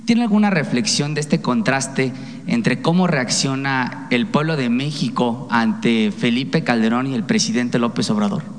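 A man's voice: medium pitch, a little slow. Mexican male reporter in an echoing hall. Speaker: reporter asking questions. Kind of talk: long spoken question at a press conference. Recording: long hall reverb.

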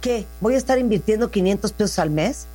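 A woman's voice: medium pitch, lively intonation, a little fast, dark tone. A woman in her twenties with a Mexican accent, hosting a casual chat, joking and reacting with slangy quips. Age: 20s. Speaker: host.

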